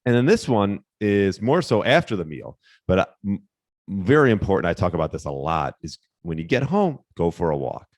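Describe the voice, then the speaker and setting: A man with a very low, slightly hoarse voice, giving fitness tips on his podcast in a relaxed, rambling way. He pauses on fillers and restarts his sentences. The sound is dry and close to the mic. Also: no room sound. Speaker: podcast host, fitness coach. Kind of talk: casual solo podcast tips.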